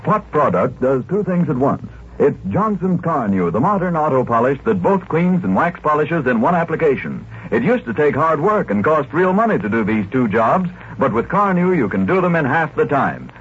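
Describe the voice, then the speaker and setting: A man's voice: fairly high pitch, sing-song, normal speed. A man with an American accent announcing scripted adverts and station breaks in 1940s radio style. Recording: muffled, hissy 1940s radio broadcast.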